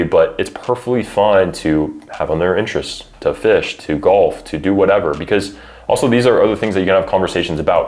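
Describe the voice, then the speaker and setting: Very deep-voiced man giving casual advice. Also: a little mellow. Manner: informal, frequent "like" and "you know". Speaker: college football recruiting coach and adviser. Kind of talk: conversational advice, explaining to parents and athletes.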